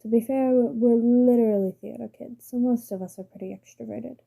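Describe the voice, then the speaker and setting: A woman's voice: a little high, fairly even intonation, fairly quick, creaky, dark tone. A woman with a strained, failing voice reading a story aloud carefully, with small slips. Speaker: story reader.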